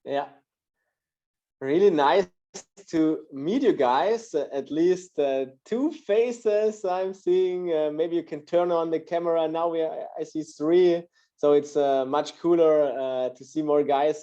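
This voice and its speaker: A man's voice: fairly high pitch, measured pace, mellow, muffled recording. A man from Austria with an Austrian German accent, a native German speaker, telling his story on a video call, hesitant with many fillers. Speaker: guest speaker.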